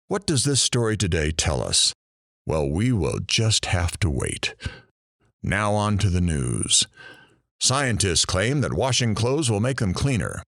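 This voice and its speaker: Man acting a deep, animated radio host. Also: no room sound, clean studio sound. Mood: upbeat, then deadpan. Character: wry radio news host and interviewer. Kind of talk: radio show hosting in audio drama.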